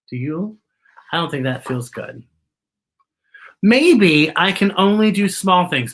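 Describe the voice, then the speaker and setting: A woman's voice: low-pitched, fairly bright tone. A woman hosting a children's storytime, reading a picture book aloud with chatty asides and explaining things expressively as if to young children.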